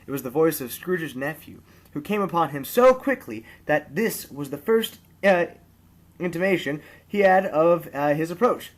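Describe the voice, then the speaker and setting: A man narrating a classic story aloud as an amateur reader, with expressive, theatrical storytelling. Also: on the high side, normal speed.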